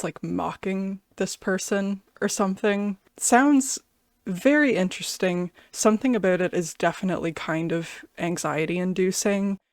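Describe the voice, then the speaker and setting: A woman's voice: fairly low pitch, no echo, clean studio sound. A woman giving a casual, thoughtful music reaction and review, feeling her way through her impressions with a hesitant delivery.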